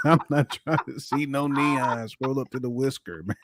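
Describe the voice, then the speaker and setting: A man's voice: medium pitch, steady tone, a little slow, background noise. An American man on a podcast panel, chatting casually in a teasing, slangy way and repeating phrases for emphasis. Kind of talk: casual chat.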